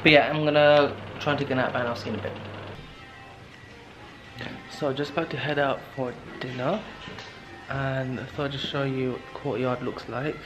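A man's voice: medium pitch, steady tone, moderate pace, music in the background, rough recording. Tired young man rambling to camera. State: tired with sore throat. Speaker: travel vlogger. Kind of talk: casual solo travel vlog. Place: guesthouse room indoors, later noisy narrow street at night. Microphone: camera held at arm's length. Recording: handheld camera with clear background noise.

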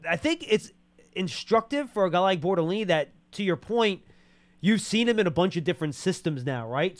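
Male sports show interviewer. High voice, conversational football talk, dry close studio sound. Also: no echo. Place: small podcast studio. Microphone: boom-arm studio microphone, close.

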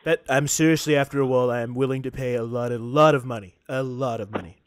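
A man's voice: medium pitch, fast, no room sound, clean studio sound, close to an everyday voice. A man doing an impression of a brash, repetitive older male politician on a prank call. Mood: brash, insistent. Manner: repetitive, emphatic salesman-like phrasing. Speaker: prank caller. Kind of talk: improvised prank phone call.

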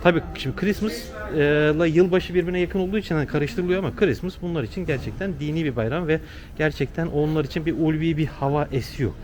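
A man's voice: on the high side, fast, darkish tone. A man chatting casually and explaining things to a companion as a travel vlogger. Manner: explanatory, conversational. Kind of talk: casual on-location chat with companion. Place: outdoors on city streets. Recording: noisy outdoor city street, traffic.

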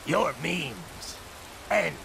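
An actor playing a grim, fatalistic armored cyborg boss in a video game, speaking slowly and solemnly. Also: male voice, fairly high pitch, animated, creaky, noisy background, exaggerated voice. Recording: game audio with rain and thunder.

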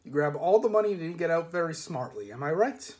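A man's voice: a little high, animated, quick pace, darkish tone, lightly acted. A man reads as a small, tidy, careful old-hand thief in a fantasy storybook. The manner is precise and polite, giving orders as if counting out change. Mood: brisk, instructing. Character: neat, careful, miserly little male criminal.

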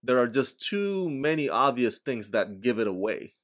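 A man's voice: medium pitch, moderate pace. Blunt Indonesian man reviewing a film. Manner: blunt, emphatic, animated delivery. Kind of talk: scripted movie review to camera. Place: small room indoors. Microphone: desk microphone in front of him.

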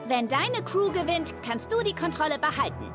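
A very high, girlish voice for a plucky cartoon skater girl. She is lively and outspoken, with big swings of pitch. Loud music plays behind her. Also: moderate pace. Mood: determined. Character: plucky, determined young girl skateboarder, loyal little sister. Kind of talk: German cartoon dub acting.

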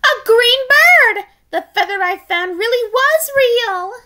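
Excitable lady bird for a storybook, extremely high, loud and gushing. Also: slow pace, full character voice. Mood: overjoyed. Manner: squealing, gushing. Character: excitable, joyful female bird.

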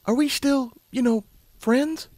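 A man's voice: very high-pitched, slow pace, darkish tone, dry recording, pitched above the performer's own voice, exaggerated voice. A man voicing an anxious, sceptical young female unicorn pony with a hesitant, questioning, earnest delivery. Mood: unsure, apologetic.

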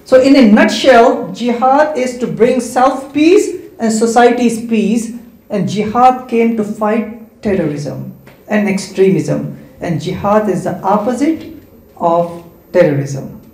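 Man, native Hindi-Urdu speaker, methodical Q&A speaker, slightly high voice, roomy hall sound, handheld mic. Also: expressive, takes it slowly, darkish tone. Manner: explanatory, lists points and adds "right?" checks. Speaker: Islamic speaker answering questions. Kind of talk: question-and-answer talk to students. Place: large indoor community hall. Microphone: handheld microphone. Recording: echoey hall.

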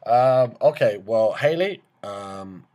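A man's voice: on the low side, expressive, takes it slowly, warm tone. A man in his twenties making a joking phone call in a cheeky, swaggering, slangy way from a small room. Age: 20s. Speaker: prank caller and video host.

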